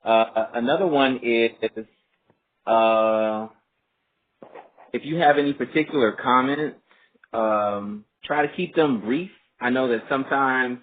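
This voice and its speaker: A man hosting a group phone call, talking casually and politely in a halting, explanatory way. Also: medium pitch. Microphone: telephone conference line. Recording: muffled phone line with clear noise, faint.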